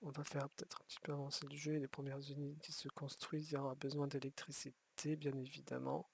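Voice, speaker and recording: A man's voice: on the high side, monotone, fast, creaky, background noise. A man giving rambling, casual commentary while playing a city-building game, often restarting his sentences and swearing offhand. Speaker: gameplay streamer.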